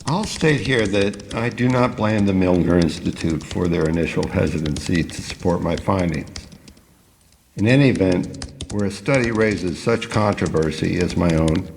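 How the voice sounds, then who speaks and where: An actor playing an earnest neuroscientist who speaks in formal, careful, bookish wording in an audio drama. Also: male voice, sits low, moderate pace, creaky, a little mellow, big-room sound, slight background noise. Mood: formal, reflective. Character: idealistic male neuroscientist, measured and earnest. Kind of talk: scripted audio drama narration and dialogue.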